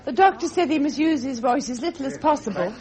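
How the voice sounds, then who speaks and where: An actress playing an anxious, affectionate princess, gentle and hesitant, with an upper-class English accent. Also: female voice, sits high, animated, fast talker. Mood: protective. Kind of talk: 1970s British period drama acting.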